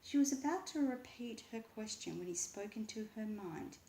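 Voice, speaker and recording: A woman reading a children's book aloud with expressive, deliberate, dramatic narration. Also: on the high side, a little hiss or hum. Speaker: story reader. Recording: roomy, echoing voice.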